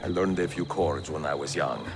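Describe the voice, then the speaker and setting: A seasoned Italian master assassin in a game drama. His voice sits extremely low with a rough creak, and he speaks formally and gravely in an Italian accent. A score plays clearly behind him. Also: music in the background. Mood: determined, calm. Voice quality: deep, gravelly. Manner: measured, formal, deliberate. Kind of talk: dramatic video game acting. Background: orchestral score.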